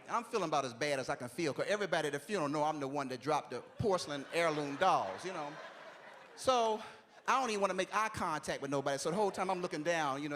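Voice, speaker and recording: A man's voice: on the high side, fairly bright tone, slight background noise. A man, telling conversational stories and leaning on "you know what I'm saying.". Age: 40s. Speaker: stand-up comedian. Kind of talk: stand-up comedy routine.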